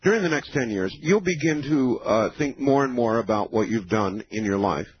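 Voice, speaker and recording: A man of fifty hosting a late-night call-in radio show, explaining in a measured way with many pauses. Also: mid-range voice, expressive, a little hiss or hum. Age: 50s. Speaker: radio talk show host. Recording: dull lo-fi radio broadcast.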